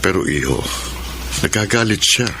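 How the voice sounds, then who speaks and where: A middle-aged man acting a gentle, concerned father in a Filipino radio drama. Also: low voice, lively intonation, moderate pace, background noise. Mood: gentle, pleading. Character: gentle, concerned father calming his son.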